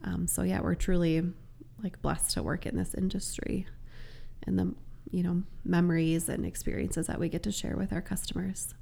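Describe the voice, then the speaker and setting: Female guest, heavy vocal fry, raspy from a lingering cold, mellow tone, casual conversation. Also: lowish voice, fairly even intonation, moderate pace. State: getting over a cold. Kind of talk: casual chat.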